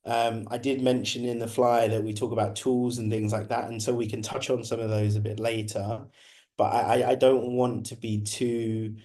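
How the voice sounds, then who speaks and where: Husky guy on a video call, kinda hoarse, mellow and pretty monotone. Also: mid-range voice, normal speed. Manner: conversational, many restarts and repetitions. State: recovering from illness, slightly hoarse. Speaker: guest speaker presenting a webinar. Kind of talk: online presentation.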